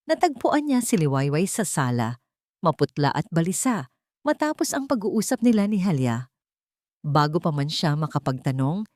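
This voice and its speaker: Filipino woman narrating drama, widely swooping pitch. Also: dark tone, no room sound, studio quality. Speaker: story narrator. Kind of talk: dramatic scripted story narration.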